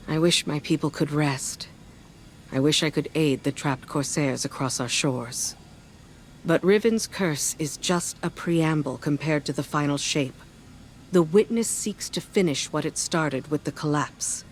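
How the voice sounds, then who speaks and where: A tired, guilt-burdened advisor who speaks in a measured, earnest way. Soft music sits beneath her words. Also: deep voice.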